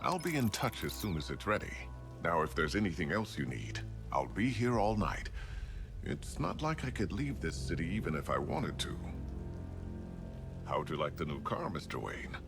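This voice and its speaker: A man's voice: low voice, sing-song, moderate pace, music in the background. A man voicing an older tech expert who is polite and fatherly, with dry humor. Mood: courteous. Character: older male tech expert, calm and courteous. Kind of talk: video game voice acting.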